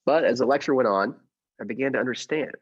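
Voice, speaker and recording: Man as henpecked would-be killer, radio drama, low husky voice, mild hesitant manner, muffled recording, music underneath. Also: natural voice. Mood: brooding, confiding. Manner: hesitant in conversation, measured when narrating. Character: mild henpecked husband coolly plotting his wife's murder. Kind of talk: radio play narration and dialogue.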